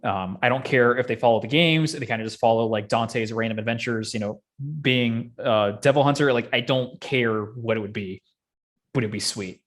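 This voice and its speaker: A man's voice: mid-range voice, moderate pace. Male podcast host, mid-range voice, slangy and opinionated, loose rambling conversational delivery. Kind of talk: casual chat about games and films.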